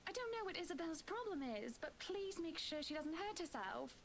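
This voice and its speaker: A woman doing a high, gracious hostess. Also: big pitch swings, fairly quick, a little hiss or hum, exaggerated voice. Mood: courteous. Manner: polite, formal.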